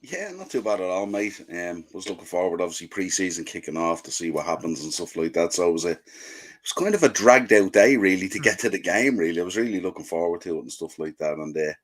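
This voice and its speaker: Very deep-voiced man with a sore throat. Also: quick pace. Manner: relaxed, rambling, says "and stuff like that". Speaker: panel guest. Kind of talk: casual football chat. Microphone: video call.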